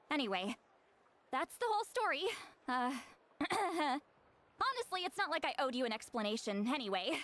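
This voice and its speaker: A woman's voice: sits high, sing-song, normal speed, long pauses, fairly bright tone. A woman playing a proud, prickly game shaman who is called granny but seems young, haughty and theatrical. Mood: awkward, explaining. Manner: theatrical, haughty, huffy sighs and throat-clearing. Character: youthful-looking granny shaman, prickly, proud, easily embarrassed.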